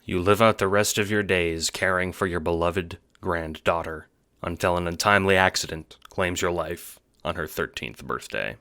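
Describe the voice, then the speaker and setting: Low-pitched man narrating an audio drama. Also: moderate pace, pushed and bright, dry recording, clean studio sound. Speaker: narrator, writer and producer. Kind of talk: scripted audio book narration in second person.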